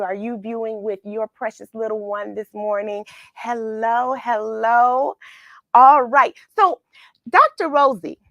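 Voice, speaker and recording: Female children's host, American, slightly high voice, leisurely delivery, encouraging and direct with young viewers. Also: fairly bright tone, dry recording. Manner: encouraging, question-asking, addresses children directly. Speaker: children's read-along host. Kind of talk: chatty livestream greetings and story reading for children.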